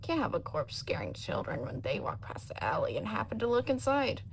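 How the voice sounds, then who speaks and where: A woman's voice: mid-range voice, wildly swooping pitch, brisk, a little hiss or hum. A woman voicing a coolly scornful criminal under questioning in a story, clipped and matter-of-fact. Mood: cool, dismissive.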